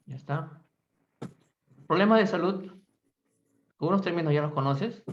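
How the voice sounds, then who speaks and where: Brisk male English teacher, lo-fi call. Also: on the high side. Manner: brisk, quizzing students in Spanish. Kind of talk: online class, calling on students.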